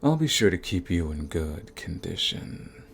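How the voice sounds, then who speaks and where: Casting: male actor as ancient vampire lord, very low gravelly voice, silky, dominant and sadistic, for ASMR roleplay with faint background noise. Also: very animated, heavily acted. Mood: taunting, possessive. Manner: menacing, silky, taunting, formal and deliberate. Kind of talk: ASMR roleplay audio drama.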